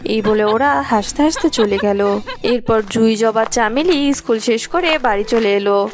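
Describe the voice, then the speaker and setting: A woman narrator reading a scripted animated story. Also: on the high side, measured pace, soft background music.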